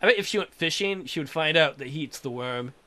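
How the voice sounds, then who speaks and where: A man's voice: fairly high pitch, lively intonation, quick pace, sharp tone. A man hosting a let's play, reading a visual novel aloud with crude, irreverent joking asides.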